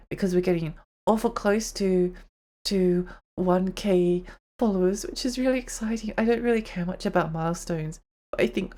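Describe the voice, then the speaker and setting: A young woman talks casually to her stream in a mid-pitched voice. Her voice sounds worn out and strained. She rambles along in a chatty, giggly way. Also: a little mellow. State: tired, strained voice. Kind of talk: casual live-stream chat.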